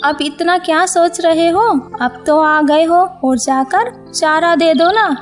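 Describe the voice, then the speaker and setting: A woman's voice: very high-pitched, slow pace, soft background music. A woman voicing a poor farmer's discontented, scolding wife in a moral story cartoon. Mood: annoyed. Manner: complaining, scolding.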